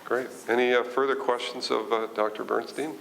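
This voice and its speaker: A man's voice: mid-range voice, steady tone, moderate pace, creaky, a bit bright, a little hiss or hum, poor audio. A man chairing a formal meeting as mayor, courteous and hesitant in his phrasing. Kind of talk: formal meeting procedure, informal asides.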